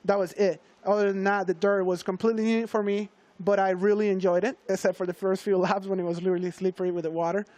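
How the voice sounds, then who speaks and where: A young man from Venezuela, a guest rider in a TV studio interview, talking at length in a relaxed, reflective way. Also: high-pitched, quick pace, few pauses, no room sound.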